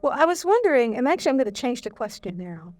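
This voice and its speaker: A woman hosting an onstage conversation, asking warm, personal questions with real enthusiasm. Her voice sits a little high for a woman. The sound is dry. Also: very animated, fast talker, no echo. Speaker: interviewer, host. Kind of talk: onstage interview.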